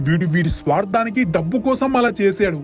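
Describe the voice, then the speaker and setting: A stern village policeman acted by a man scolds in a high-pitched voice, with faint music underneath. He is righteous and unbending, straight out of a cartoon moral story. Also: lively intonation, fast. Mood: stern anger. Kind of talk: animated moral story dialogue.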